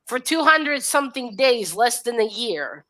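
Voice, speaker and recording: Bright, higher-pitched woman discussing a story. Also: big pitch swings, measured pace. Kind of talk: casual book discussion.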